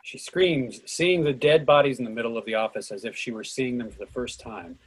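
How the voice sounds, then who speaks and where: A man's voice: mid-range voice, brisk, husky, poor audio. A man reading a play's stage directions as scripted narration.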